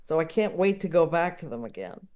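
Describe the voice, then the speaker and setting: A podcast guest talking casually and reflectively, in a halting, thoughtful way, repeating words while searching for the right phrasing. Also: medium pitch, sing-song, darkish tone. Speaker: podcast guest, comedy enthusiast. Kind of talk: casual reflective interview talk.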